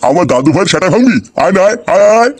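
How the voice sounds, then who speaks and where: A man's voice: high-pitched, lively intonation, rapid-fire, darkish tone. A man voicing a crude, blustering old Bengali grandfather in a comic cartoon, doting yet combative. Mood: irritated, threatening. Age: older.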